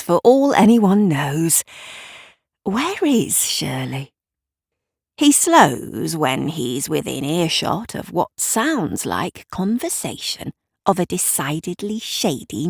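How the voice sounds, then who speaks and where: A woman narrating an audio drama in a deliberate, wry, conspiratorial storytelling style. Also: low-pitched, no echo, clean studio sound. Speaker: writer and narrator.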